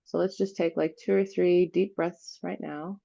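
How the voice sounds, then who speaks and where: A woman leading an unscripted guided visualization meditation, giving gentle instructions with pauses. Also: on the low side, steady tone, gravelly, muffled recording.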